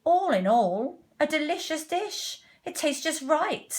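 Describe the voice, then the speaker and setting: A woman's voice: high voice, sing-song, takes it slowly, voice pushed up, full character voice. A woman playing a hungry, bossy but helpful storybook king who declares things with stretched-out, emphatic words. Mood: satisfied. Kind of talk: children's storybook read-aloud.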